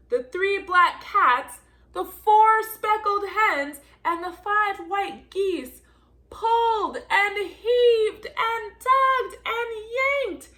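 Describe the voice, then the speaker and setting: A woman's voice: very high voice, bright, forceful tone. A woman reading a children's story aloud in a theatrical storytelling style, stretching out key words for emphasis. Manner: theatrical, drawn-out emphasis on repeated action words. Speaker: volunteer storybook reader.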